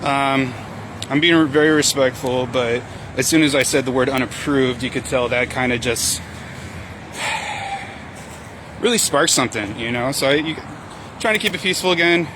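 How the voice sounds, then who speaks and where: A man's voice: mid-range voice, normal speed, long pauses. A man speaking casually and politely as a citizen journalist doing street interviews, often hesitant and informal in his phrasing. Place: outdoors by a vaccination line. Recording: loud outdoor traffic and vehicle noise.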